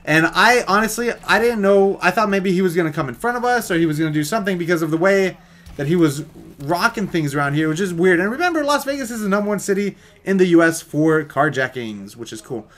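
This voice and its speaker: A man's voice: high voice, expressive, fast, a bit bright. A young American man telling a story in a casual, rambling, jokey vlog style. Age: young adult.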